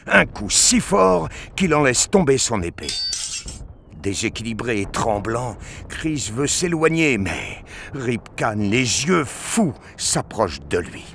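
A man narrating a scripted action story with deliberate, intense dramatic delivery. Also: mid-range voice, sing-song, gravelly, a bit bright, a little hiss or hum. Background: prominent music.

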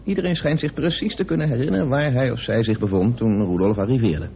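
An actor playing a composed, well-informed British parliamentarian turned sleuth in a Dutch radio play, explaining things in a measured, deliberate way. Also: male voice, medium pitch, slight background noise, close to an everyday voice. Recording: narrow, phone-like old radio recording.

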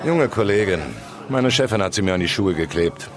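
A man's voice: on the low side, expressive, brisk, crowd noise, close to an everyday voice. A man playing a seasoned, dry investigative reporter in a naturalistic radio drama, curt and probing. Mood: dry, insistent.

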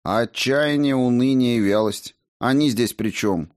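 A man's voice: mid-range voice, unhurried, no echo, lightly acted. An uneasy male pupil from a storybook, read by a male narrator, puzzled and full of questions. He speaks hesitantly to his teacher. The recording is dry, with little sense of a room.

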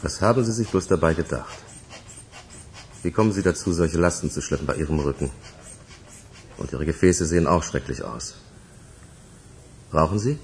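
A man's voice: a bass voice, moderate pace, long pauses. An actor playing a stern, matter-of-fact male doctor in a radio crime drama, authoritative and clinical.

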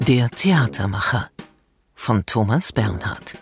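A man's voice: fairly low pitch, animated, takes it slowly. A man reading radio credits as a formal, scripted announcement. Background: music.

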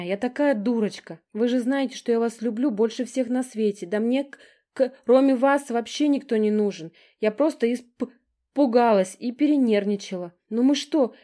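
A woman voicing a chatty, touchy young woman who stammers and breaks off words, excitable and whiny. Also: on the high side, slightly rough, pitched above the performer's own voice.

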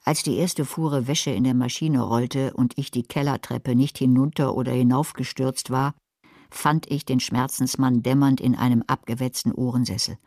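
A woman's voice: extremely low pitch, fairly even intonation, moderate pace, a little mellow, dry recording. An actress playing a dry, ironic older woman who also narrates the radio drama.

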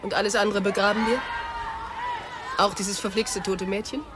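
A woman's voice: medium pitch, level tone, normal speed, far from the mic, crowd noise, background noise, natural voice. An actress in a German radio drama playing a wounded young woman, pleading and confessing with insistent emotion. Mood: tentative.

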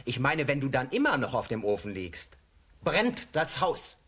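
An impatient peasant son in a children's radio play. His medium-pitched voice is blunt and scolding. He comes across as a threatening hothead. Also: huge pitch swings, normal speed, a bit bright, husky, exaggerated voice. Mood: angry, threatening.

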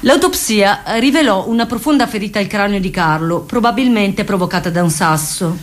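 A woman with a medium-pitched voice narrates radio theatre. She reads scripted material as a dramatic account, in the role of actor and narrator. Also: a little fast.

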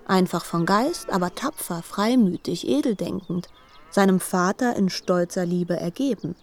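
A woman's voice: fairly low pitch, measured pace. A woman narrating a literary radio drama as an articulate storyteller.

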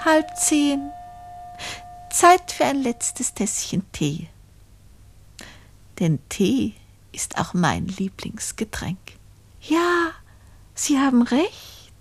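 An actress in a German radio play as a sly, gloating poor spinster who confides her crime to listeners in a deliberate storytelling manner. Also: high-pitched, very animated, husky, dry recording, exaggerated voice. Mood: smug, coy.

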